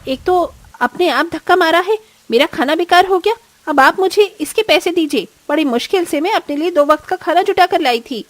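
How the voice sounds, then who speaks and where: A woman's voice: very high voice, moderate pace, no room sound. A woman voicing a young, poor daughter-in-law in a cartoon, earnest and plaintive but standing up for herself. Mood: indignant. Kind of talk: Hindi moral-story cartoon voice acting.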